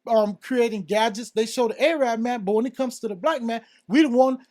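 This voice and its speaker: American guy w really high voice arguing hard on a podcast, keeps pushing his point, says bro a lot. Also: fairly quick, a little mellow. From South Florida, United States. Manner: argumentative, insistent, interrupting, repeatedly addressing others as bro. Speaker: podcast panelist. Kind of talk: heated conversational debate.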